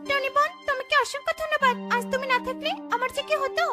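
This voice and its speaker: A woman's voice: extremely high pitch, steady tone, quick pace, over music, pitched above the performer's own voice. A woman voicing a sorrowful, loving mother duck in a cartoon, with a plaintive way of speaking. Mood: grateful.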